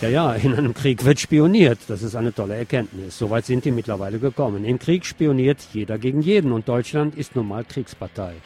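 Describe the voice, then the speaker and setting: A man with a mellow, dark voice reads news reports over faint music. He sits at a middle pitch and works in sardonic commentary, leaning on repetition for emphasis. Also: a little fast, few pauses. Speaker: radio show host reading and commenting on press. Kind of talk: news article reading with spoken commentary.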